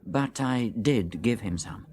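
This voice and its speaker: Low-voiced, uneasy English doctor. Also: male voice, animated, slow pace, a little mellow. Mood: worried. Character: uneasy, reserved English physician with worries. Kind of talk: radio drama acting.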